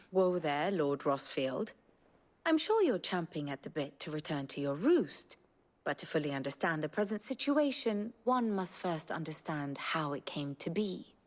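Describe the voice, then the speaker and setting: A woman's voice: low-pitched, sing-song, a little slow, exaggerated voice. An actress playing an elegant woman mentor and strategist in a video game, speaking in an erudite, formal and archly theatrical way. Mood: amused. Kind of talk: dramatic expository game dialogue.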